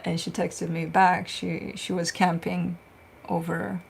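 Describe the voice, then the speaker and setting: A woman's voice: lowish voice, little pitch movement, slow, creaky, a little hiss or hum. A woman, a tarot reader chatting casually on a livestream, telling stories and answering viewer questions. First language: Romanian.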